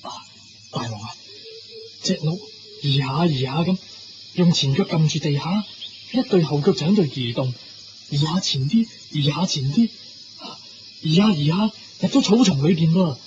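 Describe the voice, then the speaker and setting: A man's voice: fairly high pitch, lively intonation, moderate pace, long pauses, close to an everyday voice. A man with a Hong Kong Cantonese accent playing an earnest, deferential royal guard in a radio drama. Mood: observant, moved. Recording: dull lo-fi radio recording. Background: music.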